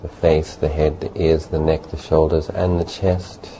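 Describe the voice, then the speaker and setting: A man leading a guided meditation as a teacher, speaking gently and unhurriedly in short phrases with long pauses. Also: very deep voice, flat delivery, poor audio. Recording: faint background noise.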